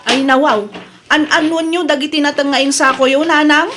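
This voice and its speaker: A woman's voice: sits high, fairly even intonation, moderate pace, very noisy, close to an everyday voice. A woman playing a vain, haughty young daughter in a comedy audio drama, with a Filipino accent and a whiny, exclamatory manner.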